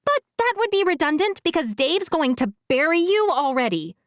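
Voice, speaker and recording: A woman's voice: very high-pitched, sing-song, normal speed, dry recording, studio quality, pitched above the performer's own voice. A woman voicing a bossy, exasperated young girl who scolds the boys. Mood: scolding.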